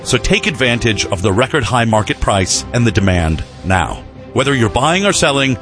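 A man reading a scripted radio ad with a punchy salesman pitch.

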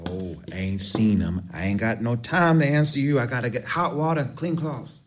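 A man's voice: mid-range voice, animated, moderate pace, slight background noise, natural voice. An actor playing a deferential, harried male household servant with a Southern US accent in a stage drama.

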